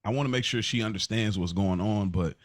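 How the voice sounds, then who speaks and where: A man's voice: lowish voice, fairly quick, dry recording, clean studio sound. A man co-hosting a podcast in casual, slangy talk, often repeating and rephrasing himself as he explains things. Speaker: podcast co-host. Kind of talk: casual chat.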